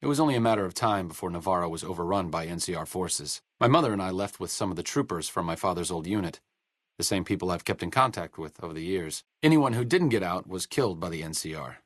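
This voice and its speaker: Deep-voiced, dry-witted bookish doctor. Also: male voice, expressive, fast talker, fairly bright tone, no room sound. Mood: reflective, serious. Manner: sardonic, articulate, understated. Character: dry-witted, bookish male doctor and researcher, idealistic and self-deprecating. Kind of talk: video game dialogue acting.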